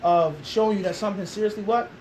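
A man's voice: high-pitched, fairly even intonation, quick pace, darkish tone, slight background noise, poor audio. A man in his thirties answering an interview in a small classroom, emphatic and full of rhetorical questions. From Philadelphia. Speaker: interviewee, Islamic teacher.

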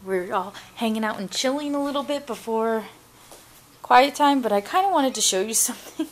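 A woman's voice: a little high, animated, moderate pace. A woman, chatting upbeat and straight to her viewers in a casual family vlog, filmed on a handheld camera at arm's length. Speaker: family vlogger. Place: car, then a home kitchen and living room.

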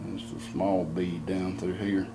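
A lowish-voiced man with a drawl explains his work in a plain, unhurried way, thinking aloud as he goes. He is recorded on a camera mic in a garage workshop with a faint hiss behind him. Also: level tone, creaky. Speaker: home mechanic demonstrating an engine rebuild. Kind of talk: casual hands-on how-to. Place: barn or garage workshop, indoors.

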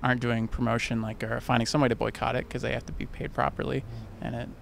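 Flat-voiced young man thinking aloud. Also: medium pitch, quick pace, creaky. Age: young adult. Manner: thinking aloud, restarting sentences. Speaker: passerby. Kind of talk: street interview answer. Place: outdoors on a city street. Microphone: handheld mic held by interviewer. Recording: faint street noise behind.

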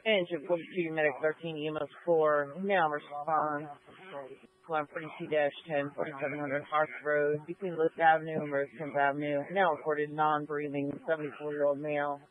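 A man's voice: on the high side, flat delivery, moderate pace, narrow, tinny audio. A man working as an emergency dispatcher, reading clipped, formulaic announcements over the radio.

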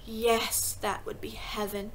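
Storybook schoolteacher, voiced by a woman reader: tender, composed and frank, measured delivery with a bright edge. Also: fairly high pitch, a little hiss or hum, lightly acted, rough recording. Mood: gentle, tender. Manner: quiet, measured, gentle.

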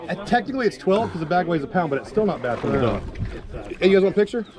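A man gives quick, lively directions while he weighs fish on an outdoor dock. His speech is fast and his pitch moves around a lot. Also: crowd noise. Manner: brisk, directing. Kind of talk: casual instructions.

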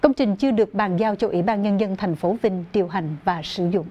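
A woman's voice: mid-range voice, a little fast, warm tone. A young woman reading scripted news formally as an anchor in a TV studio.

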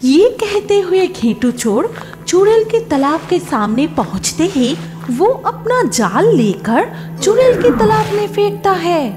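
High-pitched, animated woman storyteller. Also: a little slow. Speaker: narrator. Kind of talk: scripted children's story narration. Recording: music behind the voice.

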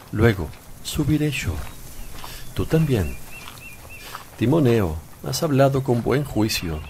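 An actor playing a calm, authoritative master teaching his disciples, solemn, measured and firm in a radio drama. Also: medium pitch, slight background noise. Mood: approving, calm.

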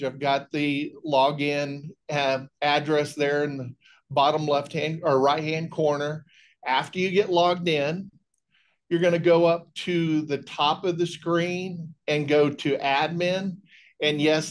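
A middle-aged man giving a technical training presentation on a video call, explaining in a measured way. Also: fairly high pitch. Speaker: technical trainer, remote monitoring specialist. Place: indoor room on a video call.

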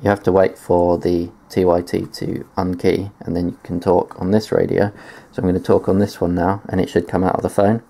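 A man's voice: deep voice, little pitch movement, fairly quick, creaky, few pauses, dark tone, slight background noise. A man giving a casual tech demonstration in a matter-of-fact, explanatory way, presenting gear on video indoors. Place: indoors at a desk.

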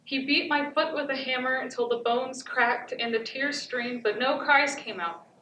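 A woman's voice: high-pitched, pushed and bright. Teen girl as haunted, stoic wife, echoey stage. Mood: haunted, restrained. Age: teens. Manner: deliberate, incantatory repetition with short stark phrases. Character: haunted, stoic abused wife recounting her torment. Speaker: student stage actor. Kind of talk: dramatic stage monologue. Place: theatre stage before an audience. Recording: echoey theatre, distant camera, background noise.

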